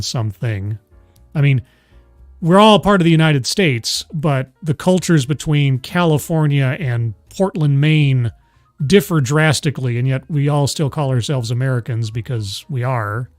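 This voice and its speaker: A man's voice: mid-range voice, darkish tone, no room sound. A man hosting a casual chat show, who stammers and restarts often while arguing his point. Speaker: host.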